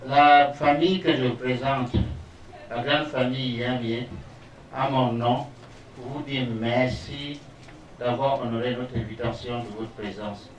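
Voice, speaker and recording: A man speaking for his family thanks the guests in a very slow, formal manner. He sounds distant and echoey, with faint room noise behind him. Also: medium pitch, fairly even intonation. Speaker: family representative welcoming guests.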